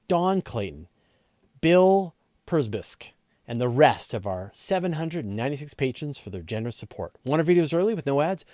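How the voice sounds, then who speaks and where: A man with a relaxed, dark-toned voice hosts a casual live question show. He explains things conversationally, working through his thoughts out loud. The sound is dry, with very little room around him. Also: medium pitch, no room sound. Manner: conversational, explanatory, thinks aloud. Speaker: host of a space and astronomy question show.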